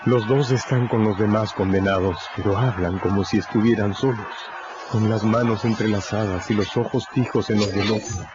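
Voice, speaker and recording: A man's voice: lowish voice, steady tone, moderate pace, very noisy. A man reading scripted dramatic narration as the narrator of a radio drama. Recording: dull recording, music behind.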